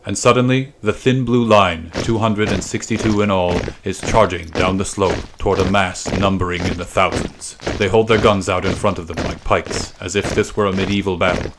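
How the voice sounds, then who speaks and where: Deep-voiced man narrating a dramatic story. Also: fairly even intonation, normal speed, few pauses, background noise. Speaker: narrator of a literary podcast.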